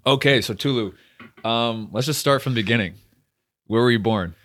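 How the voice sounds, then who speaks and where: A man's voice: medium pitch, normal speed, fairly bright tone, soft background music. A young man hosting a podcast interview, upbeat and eager, often laughing. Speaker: podcast host. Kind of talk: casual interview.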